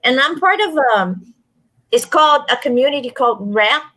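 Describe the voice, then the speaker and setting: High-voiced woman with halting, accented speech. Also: expressive, fairly bright tone. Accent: non-native, from a stroke. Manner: emphatic, repeats herself, halting restarts. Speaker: livestream guest. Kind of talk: casual chat.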